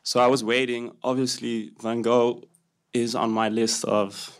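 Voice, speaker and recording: A man's voice: mid-range voice, flat delivery, a little slow, clear, resonant tone. A man in the audience asking a question about a film he is writing, hesitating as he goes.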